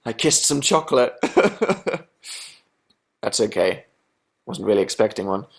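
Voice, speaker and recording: A man's voice: fairly low pitch, unhurried, gravelly. Sarcastic young Englishman chatting live. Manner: sarcastic, deadpan self-mocking jokes. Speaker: livestream host. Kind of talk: casual live chat reading viewer comments.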